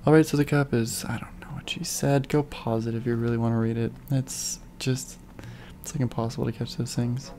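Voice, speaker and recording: A man streaming a game, rambling through casual gameplay commentary with a hoarse voice he is losing and thoughts that trail off. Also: mid-range voice, fairly even intonation, brisk, warm tone, a little hiss or hum. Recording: faint game music behind.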